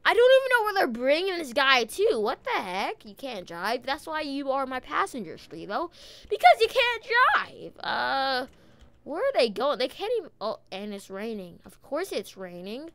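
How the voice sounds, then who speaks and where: High voice, very lively intonation, gaming host, police roleplay, urgent, exclamatory, slangy, dry close mic. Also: moderate pace. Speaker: gaming video host playing a police officer. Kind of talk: excited gameplay commentary with police roleplay.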